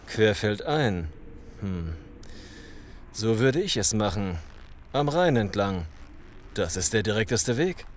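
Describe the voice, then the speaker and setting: Villainous male boss, played by an actor, zombie radio play: swooping intonation, threatening, mock-polite, faint outdoor noise. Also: lowish voice. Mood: smug, calculating. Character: menacing, calculating male gang boss hunting fugitives. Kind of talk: German radio play acting.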